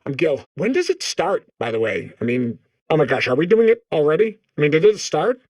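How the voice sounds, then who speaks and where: Give this one a lively, higher-pitched male voice for an eager, naive little robot in a comedy game. He babbles, apologizes and keeps doubting himself. The pitch jumps around a lot, and the recording is dry and close. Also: brisk, dry recording, muffled recording, exaggerated voice. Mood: giddy, eager. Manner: babbling, second-guessing, apologetic. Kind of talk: comedic video game voice acting.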